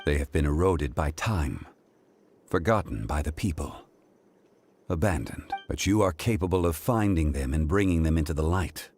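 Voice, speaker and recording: An actor playing a calm, ancient, weary male god in a video game, speaking in a measured, formal, grave way. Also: a bass voice, a little hiss or hum. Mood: grave, reflective.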